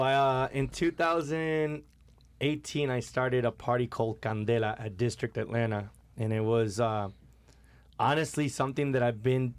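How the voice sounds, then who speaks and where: A man from Puerto Rico, a DJ guest on a podcast, telling his story in a relaxed, casual way with many fillers. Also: medium pitch, no echo.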